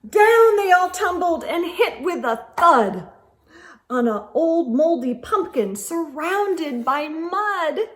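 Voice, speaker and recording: A woman storytime host reading a picture book aloud to children, expressive and emphatic, speaking directly to young listeners. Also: very high-pitched, slow pace.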